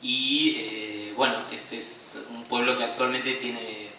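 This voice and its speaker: A man from Patagonia with an Argentine accent gives a conference presentation in a halting manner, searching for his words. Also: medium pitch, little pitch movement, bright, forceful tone. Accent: Argentine Spanish. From Patagonia, southern Argentina. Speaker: playwright and director giving a conference talk. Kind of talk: conference presentation, semi-spontaneous. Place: echoey room at a conference. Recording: very echoey hall, distant mic, faint noise.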